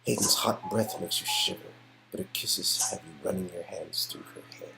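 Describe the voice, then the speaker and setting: A man reading out the narration of an interactive romance game in a soft storytelling style. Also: low-pitched, normal speed, vocal fry, music in the background, low-quality recording. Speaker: narrator and performer.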